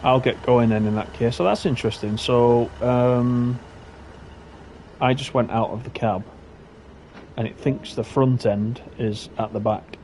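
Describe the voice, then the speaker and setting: A man with a Lancashire accent talks his way through a live-streamed game in a relaxed, rambling manner, trailing off and correcting himself as he goes. His voice sits at a middling pitch. A clear rumble of train engine noise runs steadily behind him. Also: measured pace. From Lancashire, England. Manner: chatty, rambling, self-correcting asides. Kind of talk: casual running commentary while playing. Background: simulated train engine and rail sounds.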